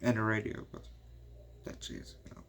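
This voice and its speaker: A man with a Dutch accent, a native Dutch speaker, casually rambling through a movie review, swearing often and filling pauses with "you know". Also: fairly low pitch, wildly swooping pitch, vocal fry, fairly bright tone. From Netherlands.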